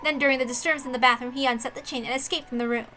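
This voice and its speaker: Casting: woman to play proud young female sleuth for visual novel; high-pitched, piercingly bright, theatrical monologues. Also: fairly even intonation, slight background noise. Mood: confident. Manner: theatrical, deliberate, dramatic monologue delivery. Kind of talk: dramatic reading of a visual novel.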